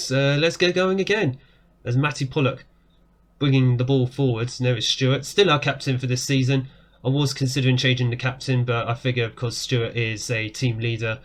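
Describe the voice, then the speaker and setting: A man in his thirties talks through a football management game from his desk at home. He is chatty and eager, rising sharply whenever a goal goes in. His voice sounds worn and a little hoarse from talking for so long. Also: mid-range voice. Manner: excitable, jumps up when goals go in. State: voice going hoarse. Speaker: game streamer. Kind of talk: casual gameplay commentary. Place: small room indoors. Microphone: webcam framing at desk.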